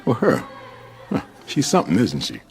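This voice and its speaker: An actor playing a laid-back, sly mystic sidekick in a radio drama, musing in an amused drawl. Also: male voice, on the low side, huge pitch swings, normal speed, vocal fry, faint music behind, exaggerated voice.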